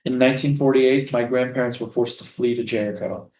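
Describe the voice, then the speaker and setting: A man reading the part of a tender, defiant Palestinian refugee prisoner in a staged play, lyrical and deliberate. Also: medium pitch, steady tone, darkish tone, close to an everyday voice. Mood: defiant, reflective. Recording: roomy, echoing live stage reading.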